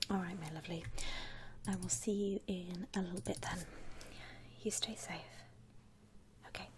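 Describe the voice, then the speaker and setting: A woman playing a friendly, attentive saleswoman in a whispered ASMR roleplay, speaking softly in soothing customer-service patter with endearments. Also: lowish voice, fairly even intonation, normal speed, noisy background, natural voice. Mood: attentive, reassuring. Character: friendly attentive saleswoman at a vampire coffin shop. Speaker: ASMR performer.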